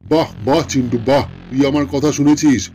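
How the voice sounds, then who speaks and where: A man voicing a menacing, hungry lion king who talks bossily in a children's animated folk tale. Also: medium pitch, fairly quick, a little hiss or hum, close to an everyday voice. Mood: demanding, pleased. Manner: bossy, threatening.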